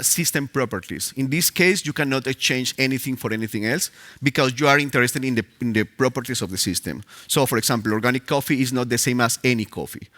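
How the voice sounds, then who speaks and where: A man's voice: mid-range voice, bright, forceful tone. A man with a Spanish accent giving a live conference talk, hesitant, with many fillers and restarts, Spanish as his first language. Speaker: conference speaker. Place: conference stage.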